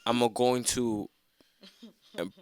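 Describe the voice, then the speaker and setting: A man's voice: mid-range voice, steady tone, slow, a little mellow, clean tone. A man in his twenties who keeps cutting in to push his questions in a heated group discussion. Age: 20s. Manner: insistent, interrupts to ask questions.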